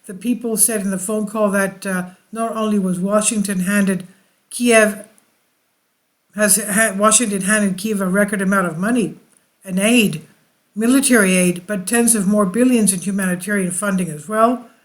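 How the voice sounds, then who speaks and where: An online news commentator reading articles aloud with off-the-cuff remarks, in a halting delivery that stumbles over the text. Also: medium pitch, steady tone.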